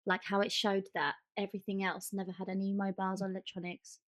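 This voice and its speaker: A woman's voice: mid-range voice, fast, dry recording. Chatty English woman, close dry sound. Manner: animated, chatty storytelling with acted-out quotes. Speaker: podcast co-host. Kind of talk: casual chat.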